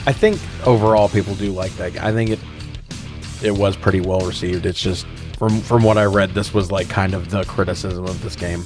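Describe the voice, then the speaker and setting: A man's voice: low voice, steady tone, a little fast, music in the background. A man co-hosting a podcast, casually recapping and riffing with incredulous, sweary humor. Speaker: podcast co-host. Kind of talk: casual comedic film recap and chat.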